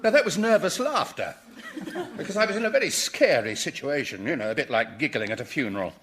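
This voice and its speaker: Bright, very animated British professor. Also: male voice, a little high, quick pace. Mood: blithely insincere. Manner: chatty, polite, donnish, amused. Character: cheerfully curious, unflappable British male professor in hell. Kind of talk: comedy radio drama acting.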